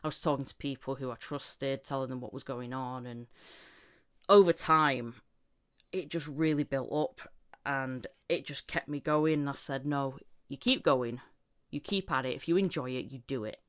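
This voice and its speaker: A woman's voice: rumbling low, normal speed, clear, resonant tone. A woman in her thirties talking casually and candidly as a guest, telling her stories with acted-out quotes. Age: 30s. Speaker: voice actor, podcast guest. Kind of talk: casual chat.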